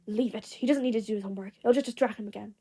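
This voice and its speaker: A woman voicing a bossy, hot-tempered teenage boy who gruffly barks orders and threats in an audio story. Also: medium pitch, racing, dark tone. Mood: irritated.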